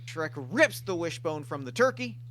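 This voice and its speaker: A man's voice: fairly high pitch, very animated, normal speed, fairly bright tone, slight background noise. A man narrating scripted stage directions at a comedic table read.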